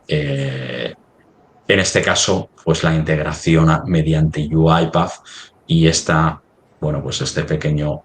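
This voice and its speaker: A man with an extremely deep voice presents a live webinar demonstration. He talks haltingly, thinking aloud as he works through each step on screen. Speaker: software demo presenter.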